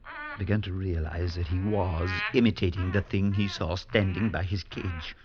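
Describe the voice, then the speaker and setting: A deep-voiced priest narrating a radio drama. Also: male voice, big pitch swings. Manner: measured narration with rising alarm in dialogue. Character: male priest narrator, earnest, steady, unsettled. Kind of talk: 1940s radio acting. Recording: dull lo-fi vintage radio with music.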